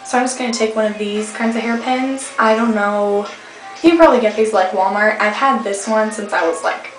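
A young woman with a slightly hoarse voice, chatting casually through a hair tutorial with rambling fillers, recorded on a webcam at home. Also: mid-range voice, brisk. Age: young adult. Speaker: beauty vlogger. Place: indoor room at home. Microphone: webcam at arm's length. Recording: echoey room, faint music behind.

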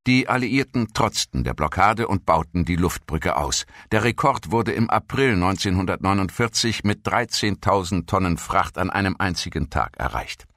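Very deep-voiced male documentary narrator. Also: lively intonation, normal speed, hardly any pauses, a bit bright, no room sound, studio quality.

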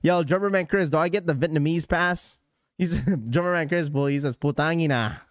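A man's voice: fairly high pitch, moderate pace, dry recording. A young man hosting a sneaker livestream from a small room with a close mic, joking loosely, laughing often and mimicking voices. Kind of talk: casual live stream chat. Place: small room with blue lighting, indoors. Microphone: studio mic on a boom arm, close.

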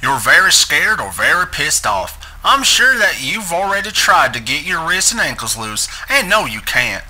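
Guy reading a script out loud, really bright forceful voice, animated pitch. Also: moderate pace, hardly any pauses, low-quality recording. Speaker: narrator reading a transcript aloud.

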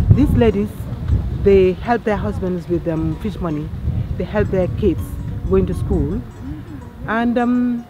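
A woman's voice: medium pitch, muffled recording. Gambian woman presenter with music behind. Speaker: host, cook and charity founder. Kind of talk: explaining cooking and village life to camera. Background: background music.

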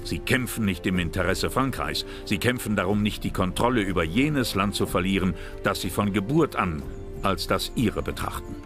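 A man giving scripted documentary narration in a calm, measured, serious way, with music behind. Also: low voice.

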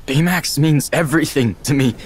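A man's voice: a little high, normal speed, husky. A young man playing an earnest, resolute teenage boy inventor in a video game cutscene, sincere and subdued. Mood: grave, resolved.

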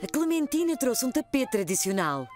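A woman narrating a children's cartoon in European Portuguese with gentle storytelling. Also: sits high, sing-song, normal speed. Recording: children's music playing behind.

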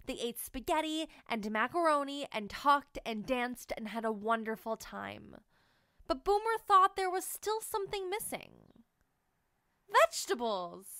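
A woman reads a children's picture book aloud, her voice climbing and dipping with playful storytelling energy. Her tone sits a little high, and the sound is close and dry with no room around it. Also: a little slow, smooth, no echo. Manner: animated storytelling, sing-song. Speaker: read-aloud narrator.

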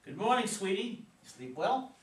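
A man's voice: sits high, very animated, normal speed. A man playing an affectionate, teasing suburban American husband and father in a stage play reading. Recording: roomy, distant, some noise.

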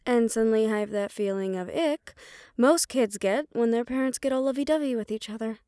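A woman's voice: a little high, a little fast, dark tone, dry recording, clean studio sound, close to an everyday voice. A woman playing a defiant, loyal young banshee daughter in an audio roleplay, sarcastic and casual. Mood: guarded, wry.

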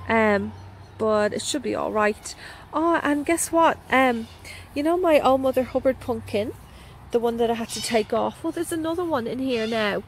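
This is an Australian woman walking through her garden with a phone camera, filming a casual tour. Her voice sits a little high and she talks with eager enthusiasm, dropping in plenty of ums. A faint outdoor hiss runs underneath her. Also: rough recording. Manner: chatty and enthusiastic, frequent ums. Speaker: gardening vlogger. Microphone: handheld phone camera.

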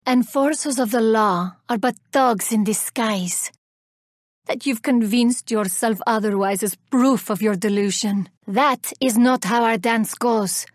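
An actress playing a vengeful, haughty elven woman warrior in a video game, speaking with deliberate, theatrical menace. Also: on the high side, dry recording, studio quality. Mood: haughty scorn. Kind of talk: video game character voice lines.

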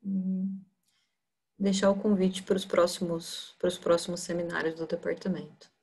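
A young woman wraps up a seminar in a polite, formal manner over a video call. Her voice is lowish and husky, with noticeable vocal fry. She speaks from an office in front of a webcam. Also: flat delivery, measured pace, a little mellow. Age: young adult. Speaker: seminar moderator. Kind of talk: polite closing remarks. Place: office room.

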